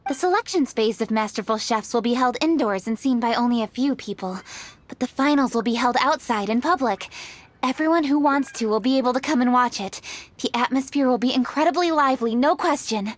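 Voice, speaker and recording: An actress voicing a brisk, analytical young woman leader in a game, speaking crisply and matter-of-factly. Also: on the high side, no room sound, natural voice. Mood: businesslike. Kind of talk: video game cutscene voice acting.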